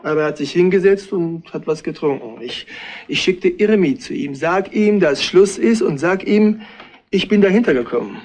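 An actor playing a distraught, jealous innkeeper in a retro radio crime play, speaking haltingly and repeating himself. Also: male voice, high-pitched, lively intonation, darkish tone. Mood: agitated. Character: distraught, jealous innkeeper husband of murdered wife.

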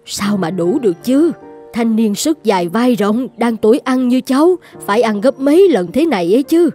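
An older female eatery owner from an animated tale, played by a woman. Her voice is fairly high yet mellow, motherly and gently insistent. Faint music runs behind her. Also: normal speed, lightly acted. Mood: caring, insistent. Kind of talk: animated moral-tale dubbing.

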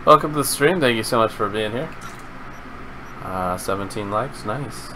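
A man's voice: fairly low pitch, lively intonation, moderate pace. A middle-aged man giving casual live commentary as he plays a video game, speaking into a close mic indoors. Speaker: live streamer. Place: indoor room at a gaming setup. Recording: noisy with game sound behind. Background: video game vehicle and gunfire sounds.

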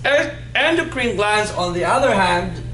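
A man in his fifties teaching anatomy in a classroom, playful and animated, quizzing his students as he goes. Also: sits high, unhurried, a crowd in the background. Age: 50s. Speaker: anatomy instructor. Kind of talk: classroom lecture with call-and-response. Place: classroom in front of a whiteboard. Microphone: far camera. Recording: echoey classroom with background noise.

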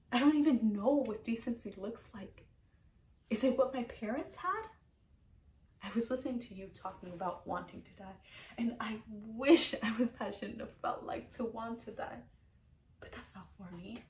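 A woman's voice: on the high side, echoey room, recorded from a distance, pitched above the performer's own voice, low-quality recording. A woman performing a dramatic stage monologue as a bitter, despairing musician, with intense, pained earnestness. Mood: weary, yearning.

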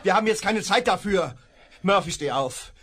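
A man's voice: sits high, expressive, normal speed, a bit bright. An actor playing an earnest young male prisoner in a 1970s radio drama, pleading and urgent.

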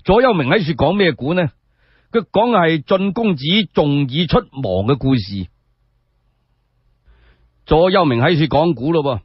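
A Cantonese man performs a solo radio story in a colloquial, dramatic way. His voice is raspy and a bit high, swinging widely in pitch. The recording is dry and dull. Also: dry recording. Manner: animated, colloquial, drawn-out phrasing with rhetorical questions. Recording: dull lo-fi sound.

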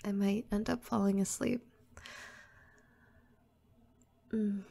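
A woman playing a playful, teasing young girl next door in an intimate, casual roleplay audio, soft-spoken and giggly. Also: medium pitch, steady tone, normal speed, vocal fry. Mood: sleepy, soft.

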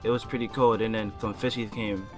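A man's voice: lowish voice, steady tone, a little fast, soft background music. A young man chatting jokingly over a game, teasing and often repeating himself in a stammer. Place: online game voice chat.

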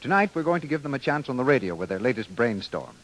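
A man's voice: mid-range voice, very animated, fast, fairly bright tone. An American man announcing from a script in polished 1930s radio style.

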